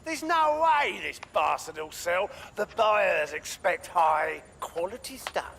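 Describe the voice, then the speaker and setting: Casting: brutal game villain, swooping gravelly delivery, impatient, coarse, bullying. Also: male voice, high-pitched, animated, takes it slowly, a bit bright, exaggerated voice. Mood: angry, contemptuous. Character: vicious, impatient male human trafficker barking orders. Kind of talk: video game acting.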